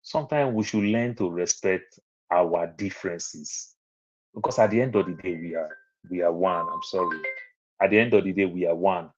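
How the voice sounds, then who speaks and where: A man's voice: low voice, moderate pace, soft background music. A man with a Nigerian accent, rambling through personal stories on an online panel. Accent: Nigerian English. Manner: rambling, storytelling, repeats phrases. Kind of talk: casual online panel talk. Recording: muffled lo-fi online audio.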